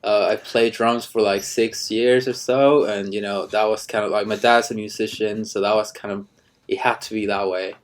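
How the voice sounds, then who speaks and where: Low, flat-voiced man in his thirties. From Ecuador. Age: 30s. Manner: halting, many restarts and fillers, relaxed. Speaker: cinematographer guest. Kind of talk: casual interview chat.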